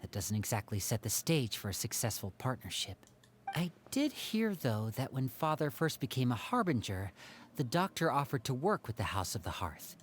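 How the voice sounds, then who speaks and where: A man voicing a calm, composed young man. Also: medium pitch, a little hiss or hum, lightly acted. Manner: calm, measured. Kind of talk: game cutscene voice acting.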